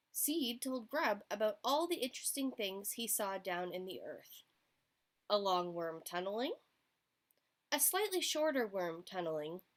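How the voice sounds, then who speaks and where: A woman's voice: mid-range voice, a bit bright. A woman storyteller reading a picture book aloud to young children, expressively, addressing her listeners directly.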